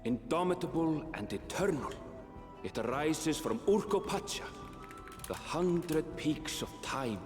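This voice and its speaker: A man's voice: a little high, lively intonation, measured pace. A male actor playing a solemn storyteller narrating an ancient legend in a poetic style for a video game. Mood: solemn, grand. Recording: echoey long reverb, faint music.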